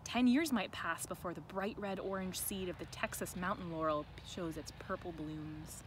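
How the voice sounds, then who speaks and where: A woman reading a picture book to children, expressive and deliberate, with chatty asides. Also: lowish voice. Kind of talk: read-aloud with chatty asides. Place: outdoors in a sunny farm garden. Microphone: camera held by another person nearby. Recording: outdoor ambient noise.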